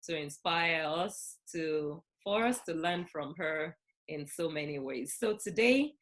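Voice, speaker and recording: A woman hosting an online interview, introducing her guest in an earnest, encouraging way, with soft fillers, over a video call. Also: low-pitched, expressive, slow. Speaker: host.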